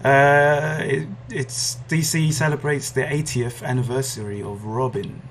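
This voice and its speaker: A man with a medium-pitched voice chats casually as a podcast co-host. He is agreeable and a little hesitant as he talks. Noise is clearly audible behind his voice. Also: fairly even intonation, measured pace. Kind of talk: casual chat about comic book movies.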